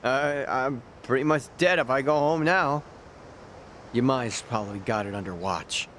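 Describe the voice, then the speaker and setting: A man's voice: medium pitch, unhurried, a bit bright, a little hiss or hum. An actor playing a cynical, wry, streetwise local man in an English-dubbed video game, chatty and given to sardonic explanations. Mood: glum.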